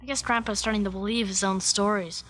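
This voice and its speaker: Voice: medium pitch, fairly even intonation, fast talker, fairly bright tone, a little hiss or hum, natural voice. A performer playing an earnest, reassuring child friend in a children's video drama.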